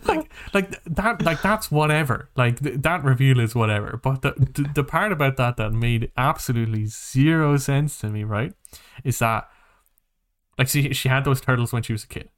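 A man's voice: medium pitch, normal speed. A man co-hosting a podcast, chatting casually about movies and games in a rambling, emphatic, sweary way. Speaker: podcast co-host. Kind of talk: casual movie and game chat.

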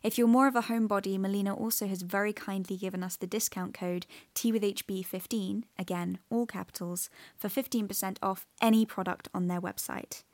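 A woman's voice: mid-range voice, steady tone, fairly quick, hardly any pauses, a little mellow, no echo. A woman hosting a podcast, moving between a scripted introduction and interview talk. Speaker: podcast host.

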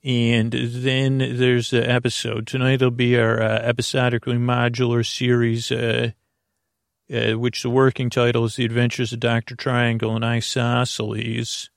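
Man, gravelly fry, dry close sound, meandering bedtime podcast host, halting, gentle and reassuring. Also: medium pitch, monotone, no echo. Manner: meandering, halting, full of tangents and repeated false starts. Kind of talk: rambling bedtime monologue to help listeners sleep.

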